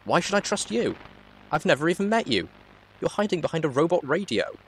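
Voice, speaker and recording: A man's voice: a little high, sing-song, fairly quick, slight background noise, voice pushed up, poor audio. A man voicing a young female pony wanderer in a storybook reading, wary and easily alarmed, with questioning, exclaiming delivery. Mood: alarmed, indignant.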